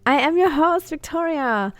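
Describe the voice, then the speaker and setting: A woman hosting a podcast about moving abroad, with German as her first language. Her voice sits somewhat high and the recording is dry and close. She talks casually and hesitates a lot, restarting questions and filling gaps with "um" and "like.". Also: lively intonation, normal speed, no echo. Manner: hesitant, with many fillers and restarts. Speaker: podcast host. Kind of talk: casual interview chat.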